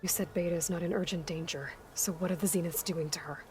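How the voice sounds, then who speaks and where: Guarded, blunt young heroine, played by an actress. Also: female voice, on the low side, steady tone, fast talker, darkish tone, a little hiss or hum, lightly acted. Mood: skeptical, probing. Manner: blunt, questioning. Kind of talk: naturalistic video game cutscene acting.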